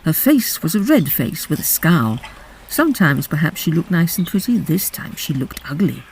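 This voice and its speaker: A woman narrates a story with a widely varying, expressive pitch. Her delivery is theatrical and deliberate, like an old storybook reading, over faint background noise. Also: low-quality recording.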